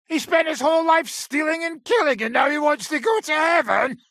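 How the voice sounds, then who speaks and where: An actor playing a gruff, battle-worn old robber baron in a video game, raspy, blunt, coarse and sardonic. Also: male voice, extremely high pitch, brisk, a bit bright, no echo, clean studio sound. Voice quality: gravelly, very raspy. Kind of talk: video game character acting.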